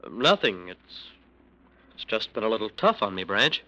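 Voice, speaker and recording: A man's voice: on the low side, very animated, normal speed, bright, forceful tone, dull audio. An actor playing a nervous, defensive male lawyer in a 1940s radio drama. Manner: jittery, defensive.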